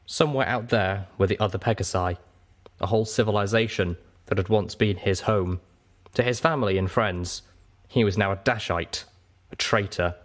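A man narrating a story as an audiobook reader, in an expressive scripted style. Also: fairly low pitch, moderate pace.

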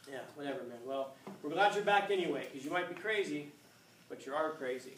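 A man in his fifties, a high school teacher lecturing a class, acting out scenes with playful voices. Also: animated, a little fast, slight background noise. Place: classroom, indoors. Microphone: far camera. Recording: echoey classroom from far off.

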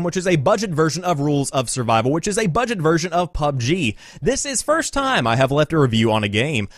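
A man's voice: on the high side, no room sound, studio quality. A man reading written reviews aloud in an emphatic, dramatic comic style. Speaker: video presenter reading user reviews.

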